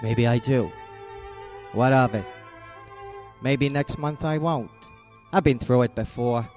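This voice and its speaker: A man's voice: mid-range voice, takes it slowly, darkish tone, faint music behind, lightly acted. An actor playing a cold, hard-boiled male private eye in a radio drama, blunt and clipped. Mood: brooding. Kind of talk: 1940s-style radio drama acting.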